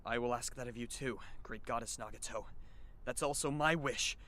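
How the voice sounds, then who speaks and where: A man's voice: medium pitch, normal speed, sharp tone, close to an everyday voice. A man playing a wry, earnest teenage schoolboy time traveler in an anime fan dub, with a conversational delivery.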